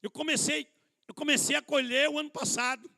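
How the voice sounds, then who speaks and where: A man with a strikingly high voice, a gravelly rasp and a sharp, bright edge gives a conversational sermon at a church conference. He is a middle-aged pastor who calls the congregation brothers and leans on rhetorical questions. He pokes gentle fun at himself along the way. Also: steady tone, fairly quick. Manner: addresses listeners as brothers, rhetorical questions, self-deprecating humor. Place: church hall.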